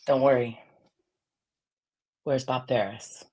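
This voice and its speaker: A raspy-voiced woman as a guest in a casual chat, blunt and fiery, projecting her voice. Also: a bass voice, steady tone, slow pace, mellow.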